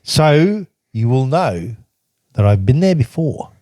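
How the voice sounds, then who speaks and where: A man's voice: mid-range voice, sing-song, slow pace, dark tone, dry recording, studio quality. An older man reading from his old travel journal and telling the stories behind it in a casual, chatty way, laughing often. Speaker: podcast guest recounting travels.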